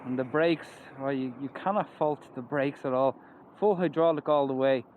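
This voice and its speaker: A man's voice: medium pitch, moderate pace, narrow, tinny audio. A man with an Irish accent chatting enthusiastically while riding an electric scooter outdoors, wearing a helmet and mask. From Ireland. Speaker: scooter reviewer. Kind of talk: casual ride-along vlog commentary. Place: outdoors on a moving scooter by roads. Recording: outdoor road noise. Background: traffic and wind.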